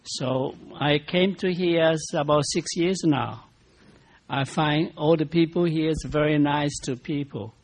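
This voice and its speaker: A man's voice: on the high side, steady tone. An older man from China with a Chinese accent, speaking politely and simply as a senior program participant. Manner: slow, simple, polite speech.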